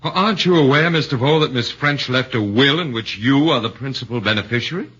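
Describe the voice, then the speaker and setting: A man voicing a shrewd middle-aged defense lawyer who questions in a probing, measured way, in a 1940s radio drama on a muffled old broadcast. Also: medium pitch, fairly bright tone, breathy edge.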